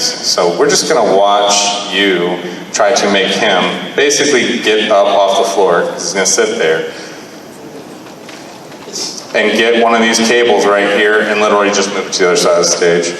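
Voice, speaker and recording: A man's voice: lowish voice, a bit bright. Improv host: man, loose profane delivery, long hall reverb and background noise. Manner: profane, loose and joking, directs the skit. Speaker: improv comedian, skit host. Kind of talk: live stand-up improv. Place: bar stage with live audience. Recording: echoey hall, distant mic, noise.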